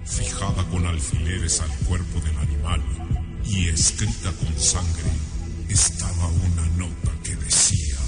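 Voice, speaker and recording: A man playing a grave, sinister storyteller with a Mexican accent, narrating a horror radio drama slowly and ominously. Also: low voice, steady tone. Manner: slow, ominous, deliberate. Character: grave, sinister male teller of a horror tale. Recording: loud music under the voice. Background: loud music.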